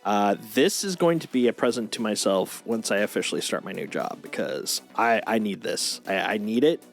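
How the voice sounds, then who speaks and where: Enthusiastic geeky man over clear music. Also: lowish voice, expressive, moderate pace. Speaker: streamer, host. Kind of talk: casual livestream chat, showing off collectible toys. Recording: music playing behind the voice.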